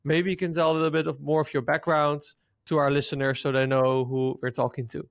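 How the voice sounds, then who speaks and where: A man with a Dutch accent hosts an interview in English, asking friendly questions with small hesitations. His voice sits a little high for a man. It is recorded close and dry. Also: little pitch movement, a little fast, creaky, dry recording. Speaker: interviewer, host. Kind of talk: casual interview.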